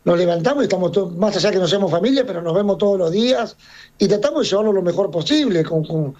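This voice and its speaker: A man's voice: high-pitched, lively intonation, fast, a little mellow. A middle-aged man from Serbia who runs a circus, talking casually in an interview and rambling with frequent restarts and repeated words. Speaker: circus owner. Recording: dull lo-fi sound.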